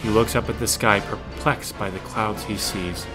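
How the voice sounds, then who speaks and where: A man's voice: lowish voice, steady tone, moderate pace. A man narrating a scripted audio drama, describing the action as the narrator. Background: music.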